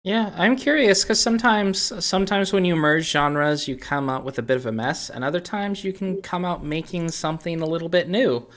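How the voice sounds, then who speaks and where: A man's voice: fairly high pitch, normal speed, few pauses, a bit bright. A man hosting a podcast, chatting casually about games and thinking aloud in a rambling way. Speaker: podcast host.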